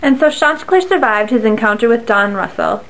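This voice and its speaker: A young woman narrating a fable with a steady, clear reading for a class puppet show. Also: on the high side, fairly quick, low-quality recording. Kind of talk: read-aloud storybook narration for a class project.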